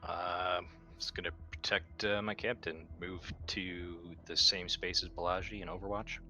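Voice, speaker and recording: Man, deep but bright voice, playful, blunt game player, casual delivery with music behind. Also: flat delivery, normal speed. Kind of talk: casual tabletop game play.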